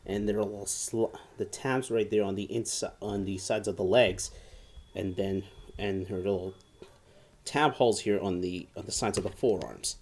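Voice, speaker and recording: Halting, enthusiastic male reviewer. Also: fairly low pitch, steady tone, a little mellow. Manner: halting, often repeats and restarts phrases. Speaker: toy reviewer. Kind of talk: casual hands-on product review. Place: indoor room at home, seated at a table.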